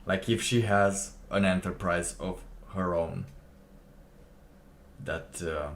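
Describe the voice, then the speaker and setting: A man with a very low voice, talking slowly as he reacts to a clip on stream. He muses aloud and chuckles to himself. A faint hiss sits under the recording. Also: fairly even intonation. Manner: slow, musing, laughs to himself. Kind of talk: casual commentary.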